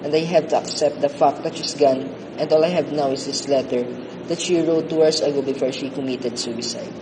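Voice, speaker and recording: A man playing a grief-stricken young man in love, narrating from a hospital bed in a radio drama. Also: natural voice. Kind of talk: scripted first-person radio drama monologue. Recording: clear background rumble and noise.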